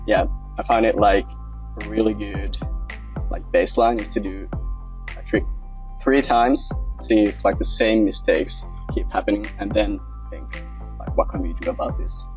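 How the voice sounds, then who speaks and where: A man's voice: lowish voice, steady tone, normal speed, a little mellow, soft background music. A man in his twenties from Finland, giving a casual interview and thinking aloud in a hesitant way. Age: 20s. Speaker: interviewee, acroyoga practitioner.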